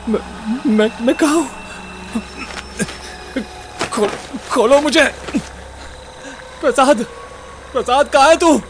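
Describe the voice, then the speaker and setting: A man playing a dazed, frightened but loyal captive in a horror-comedy audio drama, speaking anxiously and haltingly. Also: very high voice, noisy background. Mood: dazed panic.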